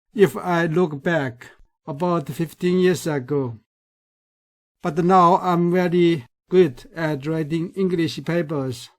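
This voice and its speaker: A man with a Chinese accent, a native Mandarin speaker, giving a recorded lecture in a careful, deliberate way. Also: a little high, a little mellow. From China. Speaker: researcher.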